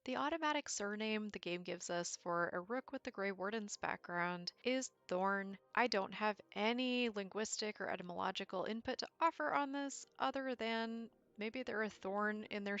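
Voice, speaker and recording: A woman's voice: mid-range voice, fairly bright tone, no echo, dull audio. A woman hosting a video, reading scripted lore commentary about a video game. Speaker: video host. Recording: music behind the voice. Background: music.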